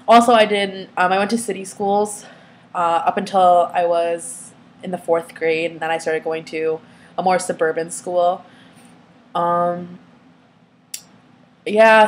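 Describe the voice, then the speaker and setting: A young woman whose first language is Vietnamese, talking casually to the camera with lots of pauses and fillers. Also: on the low side. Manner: hesitant, many fillers and restarts. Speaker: vlogger.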